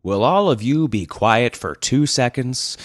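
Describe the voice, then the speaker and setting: A man's voice: mid-range voice, lively intonation, measured pace, dry recording, clean studio sound, voice pushed up, lightly acted. A man voicing a vain young elf who drawls haughtily. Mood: haughty.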